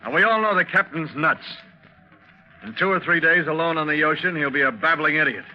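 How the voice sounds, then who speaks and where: A man's voice: on the high side, expressive, fairly quick, faint music behind, lightly acted. An actor playing a haunted, determined seaman telling his tale in a 1940s radio drama, earnest and dramatic. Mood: urgent persuasion. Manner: dramatic, earnest, vivid storytelling. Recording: dull 1940s radio recording.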